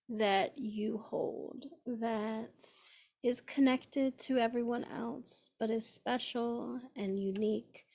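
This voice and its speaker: A woman leading a guided meditation in a gentle, soothing manner, pausing often between phrases. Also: a little high. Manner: gentle, unhurried, with long pauses between phrases.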